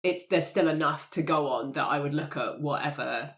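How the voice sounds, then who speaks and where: A woman with a fairly low voice improvises a story at a game table. Her talk is casual and jokey, and she often thinks out loud. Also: normal speed. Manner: joking, thinks aloud. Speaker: player in a roleplaying show.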